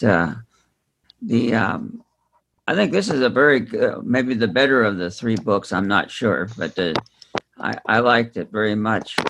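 A man speaking casually and haltingly in a book discussion. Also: medium pitch. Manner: halting, hesitant delivery. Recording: clear background noise with clicks.

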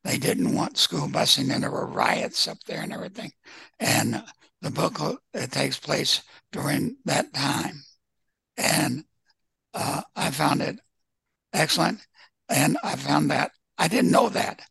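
A man with a gravelly, raspy voice casually discussing books as guest host of a book group. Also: a little high, lively intonation, unhurried, bright, forceful tone, dry recording.

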